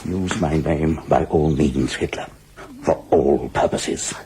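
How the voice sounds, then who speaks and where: An actor playing a gruff but courteous older Prussian field marshal in a radio drama, clipped and formal. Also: male voice, very deep voice, slow, noisy background, heavily acted. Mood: dry approval.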